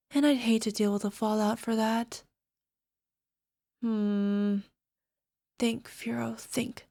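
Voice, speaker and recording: A lovesick young alchemist girl acted out by a woman speaks in a fairly high voice with no room echo. She coaxes coyly and sounds sweet with a possessive, menacing edge, in the style of an ASMR girlfriend roleplay. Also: studio quality. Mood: pondering. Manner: coy, coaxing, giggly, tag questions. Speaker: voice actor.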